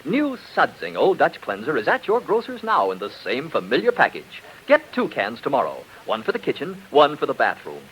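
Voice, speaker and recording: Animated, bright-voiced vintage radio announcer man. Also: mid-range voice, normal speed, hardly any pauses, slight background noise, low-quality recording. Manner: polished, emphatic sales delivery. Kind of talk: 1940s radio sponsor announcing and scripted story narration. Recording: vintage 1940s radio broadcast, music behind.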